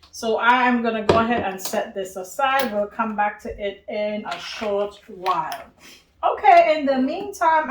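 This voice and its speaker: A woman's voice: on the high side, roomy sound, background noise. A woman from Trinidad and Tobago hosting a home cooking demonstration, chatty and talking to viewers as friends. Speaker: cooking show host.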